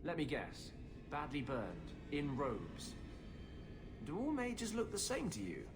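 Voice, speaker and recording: Sardonic renegade mage for fantasy game, wry and sarcastic, animated delivery, music underneath. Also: male voice, on the high side, slow, full character voice. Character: sardonic runaway male mage, former Grey Warden. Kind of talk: fantasy game cutscene acting. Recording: music behind the voice.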